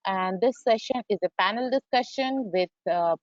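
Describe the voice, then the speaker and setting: A woman's voice: medium pitch, normal speed, no room sound, lo-fi sound. A woman hosting a webinar, politely wrapping up and making announcements over a video call. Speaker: webinar moderator.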